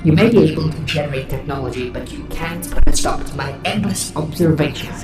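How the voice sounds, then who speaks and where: A man playing an ancient machine guardian in a sci-fi drama, speaking in a measured, formal, detached way. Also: fairly high pitch, natural voice. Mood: solemn. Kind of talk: sci-fi audio drama acting. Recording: distant, very echoey, music behind.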